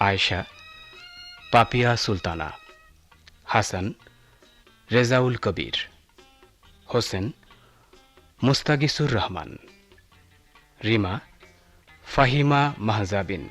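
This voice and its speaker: A man's voice: fairly low pitch, expressive, slow, pauses often, a bit bright. A man announcing radio drama credits in a formal, scripted style. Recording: music behind the voice. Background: music.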